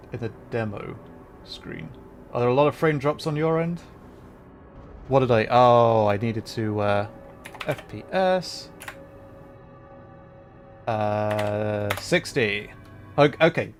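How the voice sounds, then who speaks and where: A man's voice: medium pitch, slow pace, long pauses. Casual male game streamer over music. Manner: rambling, thinking aloud while playing. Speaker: livestreamer. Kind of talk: casual live game commentary, chatting to viewers.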